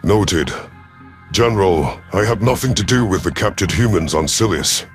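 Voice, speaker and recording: A man voicing a proud, vengeful elderly alien reptilian commander in an audio drama, speaking in a formal, measured, sardonic way. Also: low voice, lively intonation, faint music behind. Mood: guarded, defiant. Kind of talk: audio drama first-person narration and dialogue.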